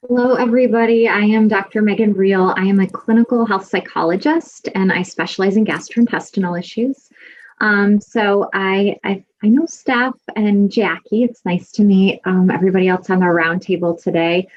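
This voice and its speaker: A woman psychologist introducing herself on a video call in a measured, slightly halting way. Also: medium pitch, steady tone, dull audio. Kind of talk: professional introduction.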